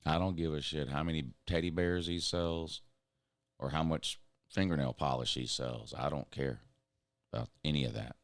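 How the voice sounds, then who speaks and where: A man with a drawl and a very deep voice, worn raspy and hoarse. He rants bluntly and swears freely as a show host. The sound is dry and close. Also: fairly even intonation, normal speed, no room sound. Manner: blunt and profane, ranting. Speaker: show host reading chat. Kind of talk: casual sports rant.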